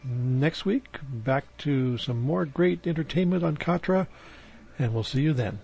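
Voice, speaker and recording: A man's voice: mid-range voice, expressive, unhurried, vocal fry, a little mellow, slightly rough. A man hosting a radio show, speaking in an informal sign-off style. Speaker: radio host.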